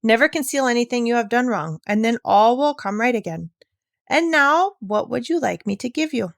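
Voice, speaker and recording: A woman voicing a kindly, generous old grandmother in a storybook, speaking warmly and firmly to a child. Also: on the high side, lively intonation, normal speed, creaky, voice pushed up. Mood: kindly, emphatic. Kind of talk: storybook read-aloud.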